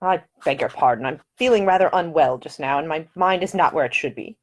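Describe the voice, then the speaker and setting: A woman's voice: low voice, fairly quick. Woman acting a grumpy, curt young nobleman. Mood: queasy, stiff. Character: miserable, scowling, curt young English nobleman.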